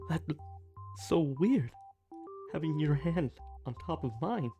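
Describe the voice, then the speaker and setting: A woman playing a shy, snarky, touch-starved alien in an ASMR roleplay audio drama, with a halting, flustered delivery. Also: low voice, very animated, heavily acted. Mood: awed. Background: soft music.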